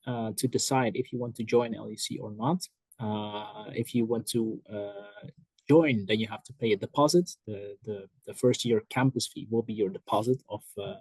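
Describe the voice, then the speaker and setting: A man from admissions staff answering questions over a video call, explaining procedures in a measured way. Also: mid-range voice, darkish tone, slightly rough, no echo, rough recording.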